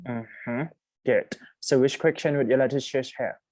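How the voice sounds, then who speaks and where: Very low-voiced woman teacher. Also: dry recording. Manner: brisk, steady murmured acknowledgments. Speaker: English teacher running mock job interviews. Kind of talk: online class mock interview. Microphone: video call.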